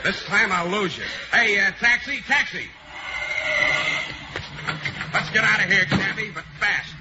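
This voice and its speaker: A man playing a tired, hard-boiled private detective in a 1940s radio comedy, trading dry, deadpan wisecracks. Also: high-pitched, unhurried, dull audio, over loud music. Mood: weary, deadpan. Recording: hissy 1940s radio broadcast.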